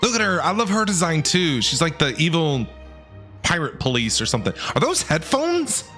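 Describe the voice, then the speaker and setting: A man reacting casually as a video game streamer, excitable and slangy. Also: fairly high pitch, moderate pace, soft background music. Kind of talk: casual live reaction commentary.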